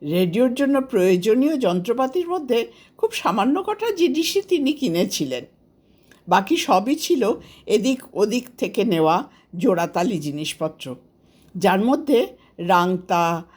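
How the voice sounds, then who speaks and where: A woman with an Indian Bengali accent narrating a scripted story of memories in an expressive, unhurried storybook manner. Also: mid-range voice. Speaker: author narrating her own story.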